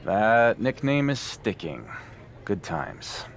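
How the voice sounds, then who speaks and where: A wry underdog pro wrestler in a game cutscene, with noise behind him. His voice is on the low side, and he speaks casually and with dry understatement. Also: sing-song, slow pace, gravelly, full character voice. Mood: sarcastic. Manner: casual, understated, dryly sarcastic. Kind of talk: scripted video game cutscene acting.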